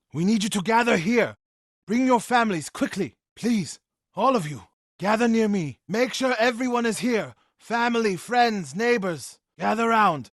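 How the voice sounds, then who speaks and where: Casting: a brave, solemn warrior monk for a video game; husky voice, close dry sound. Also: male voice, on the high side, no echo. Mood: urgent, commanding. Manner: earnest, solemn, intense. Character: devout grieving warrior monk, brave and earnest adult man. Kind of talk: dramatic video game acting.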